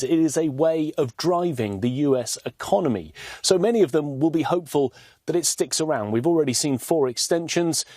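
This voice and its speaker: A man reporting live as a news correspondent, explaining in articulate, measured phrases. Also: a little high, darkish tone, no echo.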